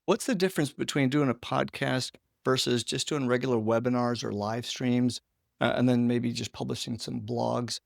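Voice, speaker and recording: Gravelly male interview host, dry sound. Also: medium pitch, steady tone, normal speed, no echo. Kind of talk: conversational interviewing.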